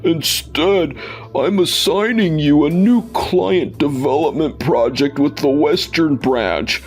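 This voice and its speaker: A man playing a stern, measured company boss and father in a manga dub, speaking with authority. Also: on the high side. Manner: deliberate, authoritative. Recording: music under the voice.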